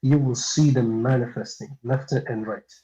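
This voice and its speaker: A man's voice: mid-range voice, steady tone, measured pace, warm tone, low-quality recording. A man whose first language is Arabic, calling in to a live stream and talking hesitantly with many fillers. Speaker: caller. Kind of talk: casual call-in chat.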